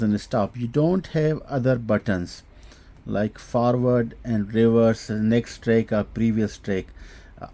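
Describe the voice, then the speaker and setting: A man giving an unscripted technical product demonstration, explaining haltingly with frequent hesitations and repeats. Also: medium pitch, warm tone. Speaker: host demonstrating audio equipment.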